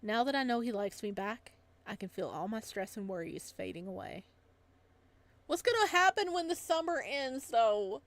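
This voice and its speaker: A woman's voice: on the high side, animated, normal speed. Giggly woman streamer reading aloud. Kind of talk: casual playthrough reactions and read-aloud narration.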